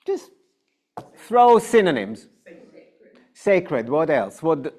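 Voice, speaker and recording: A rabbi with an Israeli accent gives an adult Bible class in a synagogue classroom. His voice sits high for a man and he takes his time. He asks the room questions as he explains. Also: sing-song, takes it slowly, darkish tone. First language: Hebrew. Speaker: rabbi teaching adult education. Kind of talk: informal Bible class lecture.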